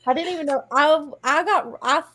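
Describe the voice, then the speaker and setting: A woman's voice: sits high, normal speed, bright, forceful tone. A woman chatting casually and informally with friends. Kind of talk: casual chat.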